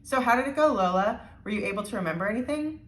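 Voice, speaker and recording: A woman's voice: fairly high pitch, lively intonation, fast talker, a bit bright, voice pushed up. Woman voicing a kindly schoolteacher, echoey room. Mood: encouraging. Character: kindly, encouraging female schoolteacher. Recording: roomy, echoing indoor sound.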